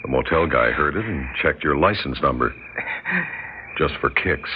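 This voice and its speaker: A man's voice: very deep voice, expressive, normal speed, a little hiss or hum. A man with an American accent acting a stern, grim police officer in an old radio drama. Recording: muffled old radio broadcast.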